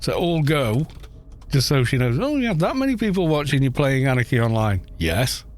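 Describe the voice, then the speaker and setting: A man's voice: mid-range voice, sing-song, moderate pace. A man chatting casually on a game livestream, rambling along with self-deprecating good humour.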